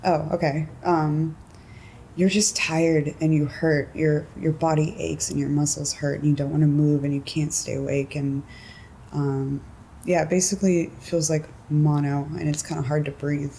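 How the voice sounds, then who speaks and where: A woman in her twenties from California, chatting casually in an interview, relaxed and giggly with lots of filler words and a low voice. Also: moderate pace, creaky, a little hiss or hum, rough recording. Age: 20s.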